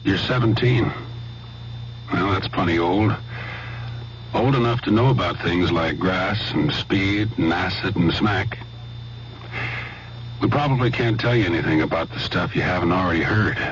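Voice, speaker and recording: A man reading a scripted radio public service announcement, sober and speaking directly to the listener. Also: deep voice. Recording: muffled old radio broadcast, faint hum.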